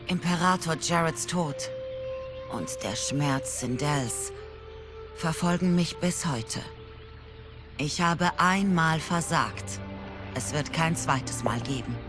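A stern female warrior of the royal guard speaks with solemn resolve and a sense of old guilt. It is dramatic video game dialogue, with music clearly behind it. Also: low-pitched, slow, pauses often. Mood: grave, troubled.

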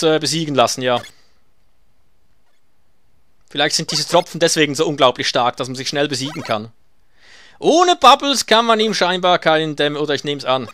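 Sarcastic young-sounding gamer man, raised pitch. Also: a bit bright. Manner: sarcastic, swears when hit. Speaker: let's play gamer. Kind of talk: casual gameplay commentary. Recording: game music behind voice. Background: faint game music.

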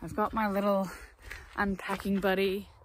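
A woman's voice: medium pitch, little pitch movement, moderate pace, slight background noise. A woman in her thirties with an Australian accent, vlogging casually to a phone camera about her busy farm weekend in a chatty, organised way. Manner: chatty, organised, matter-of-fact. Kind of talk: casual vlog narration to camera. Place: in a car, on the road and outdoors on a farm. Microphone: phone camera held at arm's length. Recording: music bed playing underneath.

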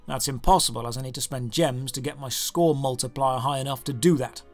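A man's voice: mid-range voice, fairly quick. A British man narrating a scripted video game review in a sarcastic, exasperated manner. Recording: music under the voice. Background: background music.

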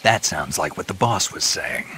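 A man playing a gruff, earnest American soldier in a video game, with a gravelly voice. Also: deep voice, animated, moderate pace, pushed and bright, slight background noise. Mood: earnest, doubtful. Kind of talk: video game cutscene acting.

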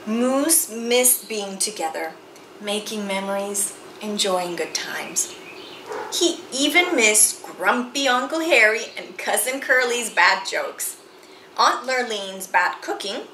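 A middle-aged woman reads a picture book aloud to children, with theatrical, deliberate, emphatic phrasing. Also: medium pitch, expressive, sharp tone. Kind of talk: expressive children's read-aloud storytelling. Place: small indoor room with bookshelves and chalkboard. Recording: roomy sound with faint background noise.

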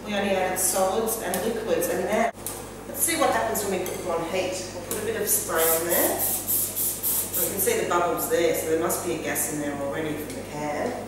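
A woman's voice: on the low side, normal speed. A middle-aged woman presenting a cooking show, asking a child questions in a friendly, teacher-like way. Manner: encouraging, asks the child questions. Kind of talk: friendly classroom-style cooking demonstration. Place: kitchen classroom, indoors. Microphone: far camera mic. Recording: echoey room from far off, noisy.

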